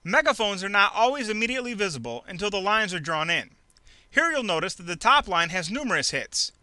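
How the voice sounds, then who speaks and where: A man reading a scripted instructional narration in a clearly enunciated, measured, teacherly way. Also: high-pitched, sing-song, sharp tone, no echo. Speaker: narrator of an instructional trading video. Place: close dry voice-over recording.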